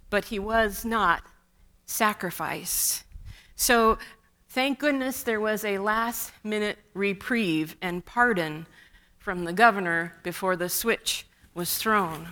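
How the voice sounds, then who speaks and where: Slow, bright-voiced woman preaching. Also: medium pitch. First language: Russian. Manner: slow, measured, with wry asides. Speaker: pastor. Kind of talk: sermon.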